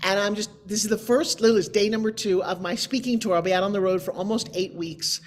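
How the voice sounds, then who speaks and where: A woman's voice: medium pitch, steady tone, fairly quick. Emphatic middle-aged woman hosting a show. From New York. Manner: emphatic, theatrical, conversational asides. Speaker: show host, motivational speaker. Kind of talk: chatty, informal show introduction and interview. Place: indoor room, on a video call. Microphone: video call microphone.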